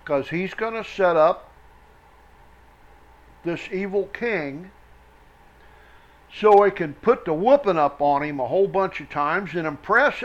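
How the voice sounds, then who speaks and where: A man preaching verse by verse, reading scripture aloud in emphatic, halting phrases and breaking off for explanatory asides. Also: high voice, a bit bright. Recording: dull, lo-fi sound.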